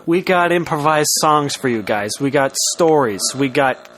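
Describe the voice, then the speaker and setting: A man's voice: fairly high pitch, animated, moderate pace, a bit bright. A man co-hosting a podcast in casual comic banter, with a matter-of-fact, slightly defensive way of talking. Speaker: podcast co-host.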